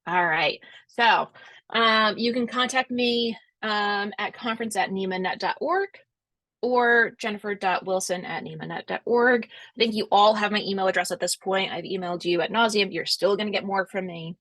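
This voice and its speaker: A woman with a Rhode Island accent hosts a webinar over a video call. Her voice sits at an ordinary mid pitch and sounds dry and close. She talks in an easygoing, reassuring way, full of little fillers. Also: normal speed, fairly bright tone, no room sound. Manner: friendly, chatty, reassuring, many fillers. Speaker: webinar host, conference organizer. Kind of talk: casual chat, answering questions.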